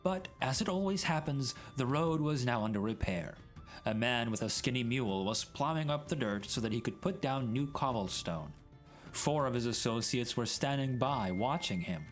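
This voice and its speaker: Theatrical male storyteller with very animated pitch. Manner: theatrical, mock-serious, addresses the listener. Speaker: storyteller, streamer reading aloud. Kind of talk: dramatic reading of a comic story. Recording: music added behind the voice. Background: music and sound effects.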